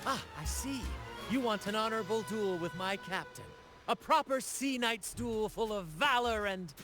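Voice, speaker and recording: A man's voice: high-pitched, lively intonation, moderate pace, fairly bright tone, soft background music. A man voicing a cheerful, flamboyant young adventurer in a video game, speaking with theatrical, teasing irony. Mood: grand. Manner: theatrical, teasing, grandly ironic.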